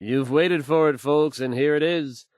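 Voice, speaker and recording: An actor playing a smooth, self-assured male radio DJ and announcer in a video game. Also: fairly high pitch, unhurried, darkish tone, no room sound, studio quality, natural voice. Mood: showy, dramatic.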